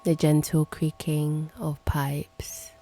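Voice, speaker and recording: A woman with a low, mellow, creaky voice guides a meditation. She speaks in a soft hush, leaving long pauses and letting the ends of her phrases turn breathy. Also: level tone, soft background music. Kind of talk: guided meditation, slow and hushed.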